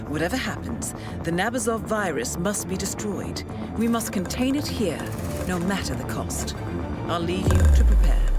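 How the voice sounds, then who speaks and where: Composed, authoritative spy handler. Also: female voice, fairly low pitch. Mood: grave, resolute. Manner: formal, measured, articulate. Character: composed, authoritative female intelligence handler briefing an assassin. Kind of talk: scripted video game mission briefing. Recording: background music under the voice.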